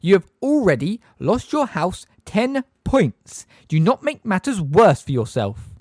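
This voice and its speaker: Man voicing a high, prim witch teacher, sickly sweet, cold, slow and deliberate, dry close sound. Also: sing-song, a little mellow, no room sound, full character voice. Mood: sugary stern. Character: sugary, cold, pompous middle-aged witch teacher.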